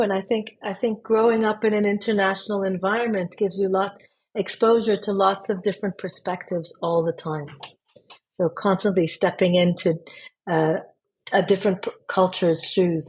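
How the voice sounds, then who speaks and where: A husky-voiced woman from Israel and Switzerland speaks as a podcast guest. She thinks aloud, repeating words and pausing as she finds her phrasing. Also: mid-range voice, steady tone, darkish tone, low-quality recording. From born in Israel, raised in Switzerland. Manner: thoughtful, with frequent repeated words. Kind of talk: casual interview.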